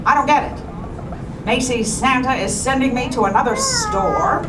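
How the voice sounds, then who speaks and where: A high, swooping voice from an actress echoes through a theater with music behind. She is a fussy, doting mother in a puppet show, theatrical and easily baffled. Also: big pitch swings, moderate pace, far from the mic, noisy background.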